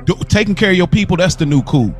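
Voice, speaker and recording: A young man co-hosting a podcast in casual chat, telling stories in an animated way and laughing often. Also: sits high, fast, faint music behind. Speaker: podcast co-host.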